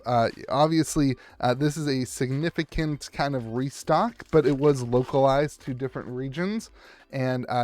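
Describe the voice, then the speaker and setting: A hoarse young man who is losing his voice, hosting a casual, chatty card pack opening and talking straight to the viewer. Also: medium pitch, no echo. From United States. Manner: chatty, calls out foils and talks to the viewer. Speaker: channel host opening card packs.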